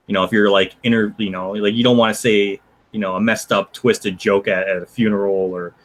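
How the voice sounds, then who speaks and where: A man's voice: fairly low pitch, level tone, fairly quick. A man in his twenties, a guest on a podcast call, who talks hesitantly and fills his speech with "like" and "um". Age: 20s. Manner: hesitant, full of "like" and fillers. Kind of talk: casual podcast chat.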